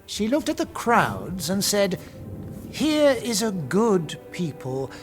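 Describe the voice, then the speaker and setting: A shrewd archbishop in a historical audio drama. He talks slowly and deliberately, with wide swings of pitch and a formal, probing manner. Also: big pitch swings, faint music behind, full character voice. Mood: pensive, grave. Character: shrewd, thoughtful medieval archbishop.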